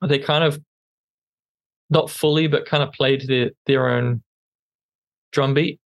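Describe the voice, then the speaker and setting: A man's voice: medium pitch, steady tone, slow, no room sound, poor audio. A man co-hosting a podcast in casual news chat, with a non-native English accent, talking hesitantly with many ums and restarts. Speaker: podcast co-host.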